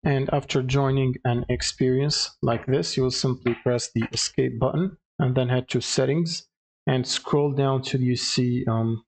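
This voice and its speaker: Guy doing a casual tech tutorial, regular pitch, kinda hesitant, going step by step. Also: steady tone, a little slow, vocal fry. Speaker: tutorial presenter. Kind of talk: casual software tutorial narration.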